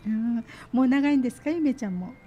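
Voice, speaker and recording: A woman's voice: on the high side, quick pace, dark tone. A woman hosting a casual radio interview with children in a small studio, echoing and affirming what they say in an encouraging way. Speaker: radio host. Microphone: studio mic on boom arm.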